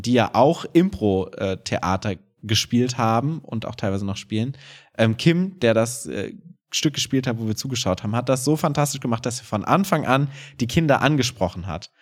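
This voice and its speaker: Casual man chatting as co-host. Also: medium pitch. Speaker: co-host of a theatre podcast. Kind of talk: casual conversational chat.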